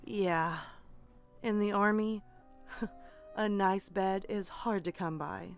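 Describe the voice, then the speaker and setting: A weary army woman in her late thirties in an audio horror story, played by a woman. She speaks very slowly in a creaky, gravelly voice, with faint music behind. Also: mid-range voice, steady tone. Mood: relaxed. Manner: sighing, unhurried. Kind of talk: audio drama dialogue.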